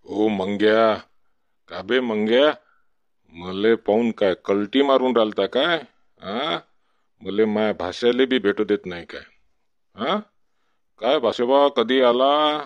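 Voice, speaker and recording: Man playing low-voiced, boisterous village drunkard uncle. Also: takes it slowly, pauses often, no echo, highs cut off. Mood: pushy, familiar. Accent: Varhadi Marathi (Vidarbha). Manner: rambling, cajoling, repetitive tag questions. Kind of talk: comic village cartoon dialogue.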